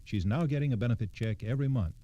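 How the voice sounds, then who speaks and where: A man's voice: mid-range voice, fast talker, mellow, muffled recording. An American man reading scripted old-time radio narration as a storyteller, with a formal, factual delivery.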